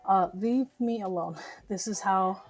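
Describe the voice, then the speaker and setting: A just-woken woman hosting a live news stream from home, reading articles haltingly, stumbling and swearing as she comments. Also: medium pitch, faint music behind. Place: home, relatives talking downstairs.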